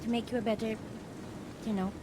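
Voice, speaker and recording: A woman playing a childlike, insecure and petulant goddess in a video game, speaking in halting, pleading phrases. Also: a little high, level tone, background noise, natural voice. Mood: hesitant, unsure. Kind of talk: video game cutscene acting. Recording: game music behind the voice.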